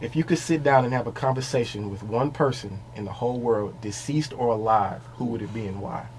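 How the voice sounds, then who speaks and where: A young man co-hosting a podcast, upbeat and animated as he asks his guest questions. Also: medium pitch, brisk, slight background noise, poor audio. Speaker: podcast co-host. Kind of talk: casual podcast interview. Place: small podcast studio with wall panels. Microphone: studio mic on arm, wearing headphones.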